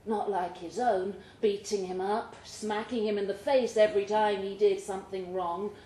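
A woman reading fiction aloud at a live event in an expressive storytelling style. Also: mid-range voice, normal speed. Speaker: short story reader at a live literary event. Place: indoor pub event room. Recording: roomy pub recording with faint noise.

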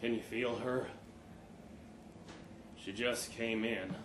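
A man's voice: medium pitch, fairly even intonation, voice pushed down, lightly acted. A man voicing an injured older father, delirious on painkillers, weary and pleading, pushing his words out with effort. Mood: dreamy, certain. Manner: halting, laboured, pushing words out. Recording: roomy live venue with faint noise.

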